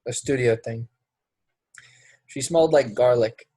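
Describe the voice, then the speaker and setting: A man's voice: mid-range voice, a little slow, mellow, breathy edge, dry recording. A man playing a brash, resentful young actor in a play reading, speaking in a blunt, casual, clipped way. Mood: blunt, sly. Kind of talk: play readthrough acting.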